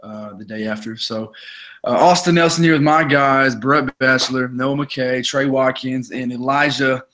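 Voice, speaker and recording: A young man with a raspy voice hosts a laid-back sports podcast. He talks with energy and chats freely, with plenty of fillers. His pitch sits in the middle for a man. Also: normal speed. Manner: energetic, chatty storytelling with many fillers. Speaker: podcast host. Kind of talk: casual sports podcast chat.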